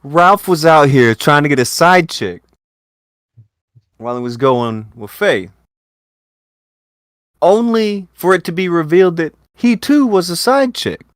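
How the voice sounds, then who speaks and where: A man hosting a casual online talk show, joking and swearing, with bursts of loud excitement. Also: on the high side, a little slow, long pauses, no echo, studio quality. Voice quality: lively, swings into loud bursts. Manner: profane, jokey, talks with laughs. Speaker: podcast host. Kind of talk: casual chat.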